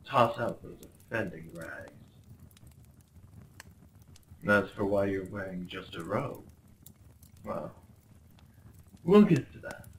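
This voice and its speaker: A man's voice: low voice, animated, measured pace, long pauses, a little hiss or hum, poor audio. A man playing a smug, possessive crown prince in a roleplay audio drama, speaking with formal, condescending politeness and theatrical flair. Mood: amused, smooth. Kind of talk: roleplay ASMR acting.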